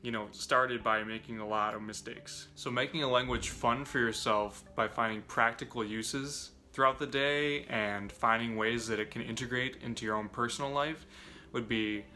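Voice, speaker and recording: Bright-voiced young man giving language tips. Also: medium pitch, steady tone, moderate pace, faint music behind. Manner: earnest, explanatory, addresses viewers as "you guys". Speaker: language learning YouTuber. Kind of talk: direct-to-camera tips video.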